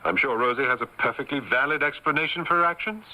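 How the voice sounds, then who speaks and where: An actor playing an earnest, kindly gentleman novelist turned amateur detective in an old radio mystery, polite and hesitant. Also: mid-range voice, fast, muffled recording, lightly acted. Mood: earnest, protective. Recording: very noisy old radio recording.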